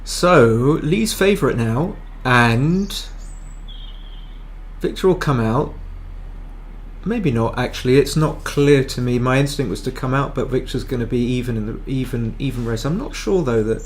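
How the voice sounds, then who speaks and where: A man's voice: medium pitch, a little slow, slight background noise. A man giving casual live commentary on a match as a streamer, chatty and thinking aloud with frequent restarts.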